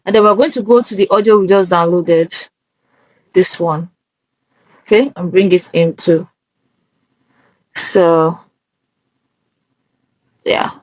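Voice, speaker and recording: Slow-paced woman giving a tutorial. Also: fairly low pitch, steady tone, no room sound, poor audio. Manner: instructive, often checking in with "okay?". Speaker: tutorial presenter. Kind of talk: casual step-by-step screen tutorial.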